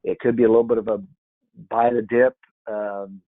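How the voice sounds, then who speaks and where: A man with a worn-out, hoarse voice talks through price charts, stopping often to restart his sentences. His voice sits a little low. The sound is dry and thin, like a phone call. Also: level tone, normal speed, dry recording. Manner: hesitant, many fillers and restarts. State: hoarse from yelling. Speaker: market analyst. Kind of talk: screen-share chart walkthrough.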